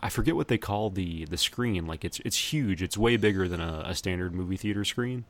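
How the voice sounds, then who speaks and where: A man's voice: sits low, lively intonation, brisk, dry recording. A man co-hosting a podcast, chatting casually about films in a laid-back, slightly hesitant way. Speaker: podcast co-host.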